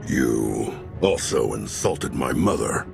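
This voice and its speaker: An actor playing a gruff, menacing ex-soldier arms dealer with a deep gravelly voice in a video game. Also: male voice, animated, slow, heavily acted. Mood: sardonic, threatening. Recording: music behind the voice.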